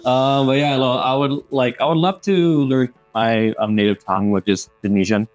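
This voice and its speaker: A man's voice: mid-range voice, steady tone, normal speed, faint music behind. A young man in his mid-twenties co-hosting a casual podcast, talking hesitantly with lots of fillers and frequent laughs. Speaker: podcast co-host.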